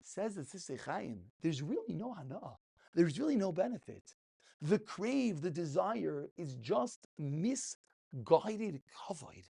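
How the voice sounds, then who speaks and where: A man's voice: high-pitched, sing-song, takes it slowly, gravelly, mellow, breathy edge. A man giving a passionate, informal religious lesson as a rabbi, emphatic, full of rhetorical questions and repeated phrases.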